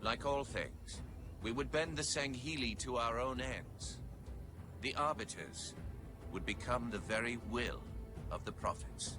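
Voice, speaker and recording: A man's voice: medium pitch, a little slow, close to an everyday voice. An actor playing a solemn, proud alien prophet, narrating his empire's history in a stately, pompous way for a game. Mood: proud, imperious. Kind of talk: scripted dramatic game narration. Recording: loud cinematic music behind.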